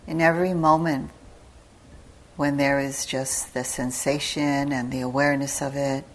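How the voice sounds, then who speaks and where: A woman teacher giving guided meditation instructions in a calm, measured manner, with long pauses between short phrases. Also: very deep voice, a little hiss or hum. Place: meditation retreat hall.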